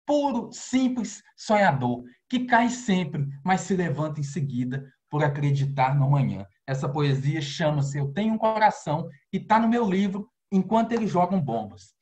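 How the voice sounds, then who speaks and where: A man from Minas Gerais with a Brazilian accent, reading his prose and poetry aloud with expressive rises on key lines, over a video call. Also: normal speed, hardly any pauses. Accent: Brazilian Portuguese. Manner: expressive recitation, rising pitch on key lines. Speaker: poet and novelist.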